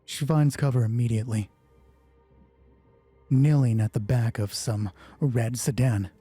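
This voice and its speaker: A man hosting a solo roleplay game, narrating it dramatically and deliberately in short phrases. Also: medium pitch, fairly even intonation, warm tone, slightly rough, soft background music.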